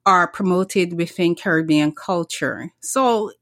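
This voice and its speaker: A woman's voice: on the low side, takes it slowly, fairly bright tone, no echo, studio quality. A middle-aged woman from Barbados, a small business founder, telling her story earnestly in a casual interview, often restarting her sentences.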